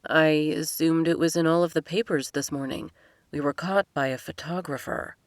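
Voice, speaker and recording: A woman voicing an apologetic young man, speaking softly and sheepishly. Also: deep voice, normal speed, no room sound, clean studio sound, natural voice. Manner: soft, hushed, apologetic.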